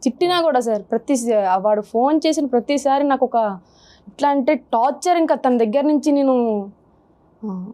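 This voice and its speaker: A woman's voice: high voice, normal speed, pauses often, warm tone. A woman in her twenties, earnestly and hesitantly telling her personal story in an interview. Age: 20s. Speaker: guest sharing her problem. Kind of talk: confessional interview.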